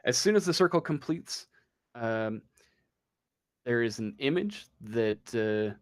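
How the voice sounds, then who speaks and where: A man improvising narration as game master of a tabletop roleplaying game, often pausing mid-sentence as he goes. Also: mid-range voice, lively intonation, no room sound, studio quality.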